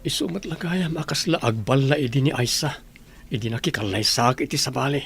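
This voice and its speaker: A man playing a brooding, bitter village man with a husky voice in a radio drama. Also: medium pitch, a little fast. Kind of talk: Ilocano radio drama acting. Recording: music under the voice.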